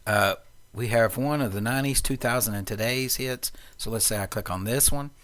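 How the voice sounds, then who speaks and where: A man presenting an informal, unscripted software tutorial, explaining things step by step. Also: medium pitch, moderate pace. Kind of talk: informal screencast walkthrough.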